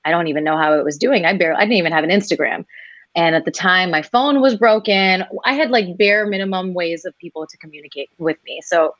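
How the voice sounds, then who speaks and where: A woman in her twenties shares her story as an interview guest, her voice sitting a little low. She's animated and slips into quoted voices for other people. The sound is dry and close, with no room around it. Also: quick pace, dry recording, muffled recording. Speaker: singer guest.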